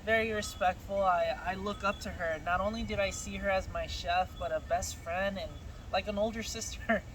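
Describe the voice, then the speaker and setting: High-voiced man chatting outdoors by traffic. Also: moderate pace, poor audio. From California. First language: Spanish. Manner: relaxed storytelling, laughs often. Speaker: guest telling his story. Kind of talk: casual chat.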